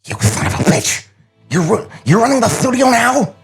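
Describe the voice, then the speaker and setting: A man's voice: very high-pitched, very animated, normal speed, over music, pitched above the performer's own voice, full character voice. A man playing an angry cartoon studio boss in a comic script read, biting and sarcastic. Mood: sarcastic anger.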